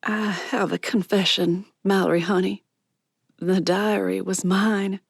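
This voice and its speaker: A woman voicing Miss Patty, a gentle, motherly older woman who speaks softly. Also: medium pitch, normal speed, vocal fry, dry recording, clean studio sound, lightly acted. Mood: tearful.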